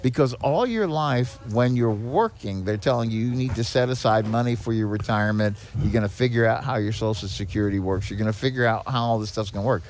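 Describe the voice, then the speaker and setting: A man's voice: mid-range voice, brisk, hardly any pauses. Folksy, emphatic older man explaining things. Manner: folksy, emphatic, repeats key points. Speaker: podcast guest, head of a seniors' nonprofit. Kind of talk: conversational explaining with anecdotes. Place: on location at a garage.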